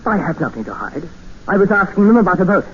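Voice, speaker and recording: An actor playing an educated English captive, narrating and speaking in an earnest, formal, dramatic 1950s radio style. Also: male voice, sits high, sing-song, quick pace, slight background noise. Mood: tense, accusing. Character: desperate, educated male English captive expedition member, narrating. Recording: muffled old radio recording with music.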